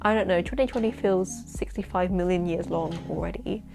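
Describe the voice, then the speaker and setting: A young woman with a London accent and a husky voice, chatting excitedly to camera in a casual vlog about her work as a poet. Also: lowish voice. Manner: chatty, excitable, quick asides and laughs. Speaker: poet and vlogger. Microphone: camera-mounted shotgun mic.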